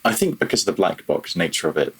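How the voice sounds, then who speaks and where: A man's voice: deep voice, sing-song, fast, slightly rough. A man speaking as a guest in a casual technical interview, thinking aloud and often correcting himself mid-phrase. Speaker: guest, audio programmer.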